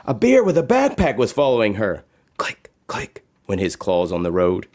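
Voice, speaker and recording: A man reading a children's story aloud in a dramatic, expressive narration style. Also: on the low side, normal speed. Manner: dramatic, expressive with sound words. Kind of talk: animated read-aloud narration.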